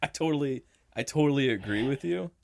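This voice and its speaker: A man's voice: mid-range voice, lively intonation, a little fast, gravelly, no echo, clean studio sound. A young man co-hosting a casual podcast, joking around and laughing often. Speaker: podcast co-host. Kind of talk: casual chat.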